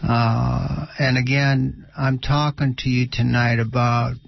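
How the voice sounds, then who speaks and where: A middle-aged or older man with a creaky, gravelly voice teaches about spiritual healing in conversation. His delivery stays fairly even in pitch and is halting, with many pauses mid-sentence. The radio recording is slightly dull. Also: no room sound. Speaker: spiritual healer and radio show host.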